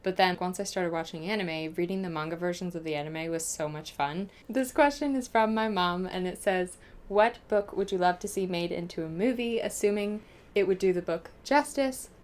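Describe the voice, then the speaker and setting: A young American woman talking casually and informally to viewers as a book vlogger, gushing and animated as she answers questions. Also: fairly low pitch, normal speed. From United States.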